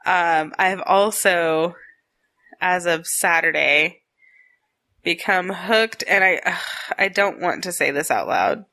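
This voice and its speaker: A woman's voice: fairly low pitch, expressive, slow, pushed and bright. A woman in her late twenties from Texas, co-hosting a casual podcast chat, laughing often and teasing her partner. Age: 20s. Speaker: podcast co-host. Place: home in Arizona.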